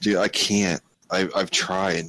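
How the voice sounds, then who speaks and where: A man with a low voice chats casually as a podcast co-host. His delivery is informal gamer talk, well below the usual male pitch. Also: level tone, takes it slowly, a bit bright, slight background noise, low-quality recording. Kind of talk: casual chat about gaming.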